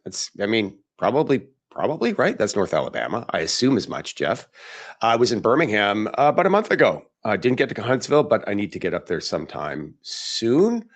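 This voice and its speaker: A man hosts a live podcast in a loose, chatty way. His voice is hoarse and raspy from days of talking, yet it bounces with lively swings in pitch. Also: fairly quick. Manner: chatty, upbeat, greeting viewers. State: hoarse, tired voice. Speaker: podcast host.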